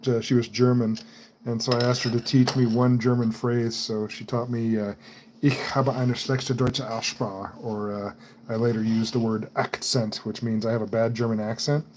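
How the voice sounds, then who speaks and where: A man's voice: mid-range voice, level tone, normal speed, darkish tone, slight background noise, low-quality recording. A man hosting a live stream, chatting casually and laughing often while he works on computer parts. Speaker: livestream host building computers. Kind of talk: casual chat while working.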